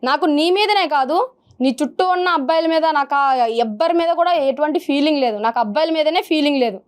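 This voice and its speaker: A woman's voice: high voice, fast talker. A young woman appearing as a guest on a talk show, speaking bluntly and with exasperation.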